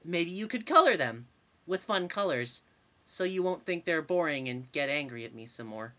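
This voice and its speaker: A woman performs a meek young troll boy in fandub style, low in pitch but bright in tone. He speaks haltingly and politely, unsure of himself and quick to trail off. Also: expressive. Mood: timid. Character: timid, meek, hesitant young male troll, politely apologetic. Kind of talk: amateur fandub audition acting.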